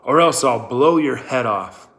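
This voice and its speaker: A man's voice: medium pitch, expressive, a little slow, vocal fry, a bit bright. A man voicing a threatening, impatient outlaw gunman in a storybook, barking his demands.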